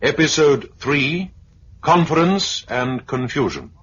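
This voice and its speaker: A man's voice: on the high side, lively intonation, takes it slowly, fairly bright tone, faint music behind. A man announcing formally in the style of 1950s radio. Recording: muffled vintage radio recording.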